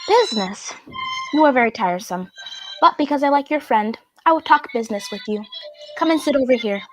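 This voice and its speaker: A woman playing a flirtatious, quick-tempered society hostess, theatrical and imperious, in a radio drama. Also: high-pitched, expressive, no echo, soft background music, low-quality recording. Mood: teasing, pouting.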